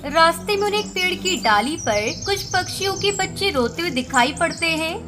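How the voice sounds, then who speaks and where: A woman's voice: very high-pitched, lively intonation, fairly quick, pushed and bright. A woman reading scripted narration for a children's cartoon story. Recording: music under the narration.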